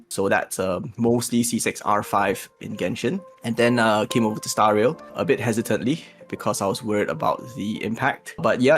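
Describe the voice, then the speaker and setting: A man with a Singaporean accent speaks on a voice call in a fairly low voice, hesitant and self-deprecating with plenty of fillers. Faint music plays underneath the call. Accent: Singaporean English. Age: adult, married. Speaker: guest. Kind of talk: casual call interview. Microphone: video call.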